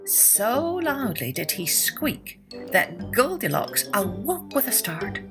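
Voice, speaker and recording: Very animated, slow-paced woman storyteller. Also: mid-range voice, over music. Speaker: storytelling podcast host. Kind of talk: expressive children's fairytale narration.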